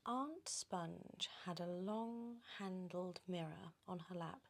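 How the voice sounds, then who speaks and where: A woman with a British accent reading a children's story in ASMR style, animated and often slipping into whispers. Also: on the low side, slow, creaky.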